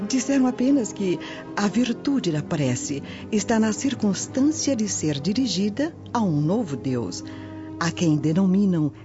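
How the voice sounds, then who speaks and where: A woman's voice: mid-range voice, very animated, unhurried. A woman playing a worldly, knowing Roman matron confiding in a friend in a radio drama. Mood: reassuring. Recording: dull lo-fi audio over music.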